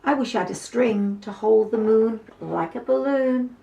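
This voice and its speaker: A tiny, anxious boy mouse, voiced by a woman reading aloud pipes up in a high, pleading squeak, always hungry and fretting. The sound is roomy and a little distant, with some gravel under the lifted voice. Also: measured pace, warm tone. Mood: wistful. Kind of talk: storybook read-aloud. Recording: echoey room, distant mic, faint noise.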